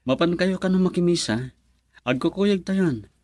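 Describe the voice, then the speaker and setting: A man's voice: sits high, normal speed, darkish tone, no room sound, clean studio sound, close to an everyday voice. An actor playing a friendly, modest young professional man in a radio drama. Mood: friendly, respectful. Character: friendly, modest young architect, respectful to elders.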